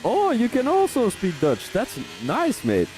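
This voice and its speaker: A man from Belgium, doing live race commentary for a sim racing league, rambling in chatty asides and rising to excited calls on overtakes. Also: very high-pitched, a little slow, faint music behind, low-quality recording.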